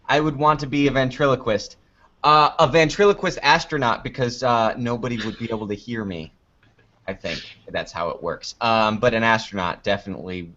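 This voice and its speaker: A man's voice: mid-range voice, a bit bright. Playful male podcast guest, dull lo-fi audio. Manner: playful, joking. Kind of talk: casual chat.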